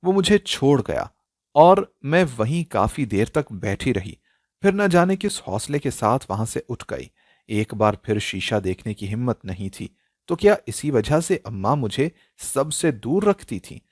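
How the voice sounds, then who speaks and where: A man narrating a scripted emotional story in a dramatic, expressive style. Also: medium pitch, a little slow, no room sound, clean studio sound.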